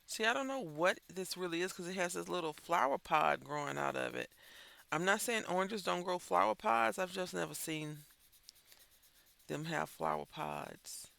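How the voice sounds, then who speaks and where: Gravelly, bright-voiced man, stuffy and chatty. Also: sits high, normal speed. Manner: rambling, chatty, thinks aloud. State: stuffy and congested. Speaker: crafting channel host. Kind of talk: casual chat while coloring.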